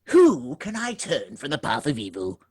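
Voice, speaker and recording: A woman's voice: extremely low pitch, moderate pace, breathy edge, no room sound, studio quality, heavily acted. A woman playing an eager, wheedling ghost. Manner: wheedling, excitable. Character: eager, pleading male ghost. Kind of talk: radio play acting.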